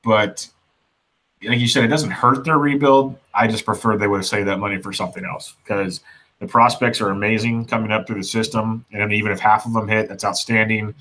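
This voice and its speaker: A man's voice: fairly low pitch, steady tone, a little fast, sharp tone, rough recording. A man co-hosting a casual sports podcast, easygoing and agreeable. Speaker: podcast co-host.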